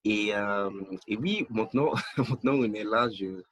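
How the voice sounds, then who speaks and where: A man's voice: on the low side, measured pace, a bit bright, breathy edge, no room sound. A young man from Nigeria, a podcast guest, talking hesitantly with many restarts and fillers. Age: young adult. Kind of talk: casual interview chat.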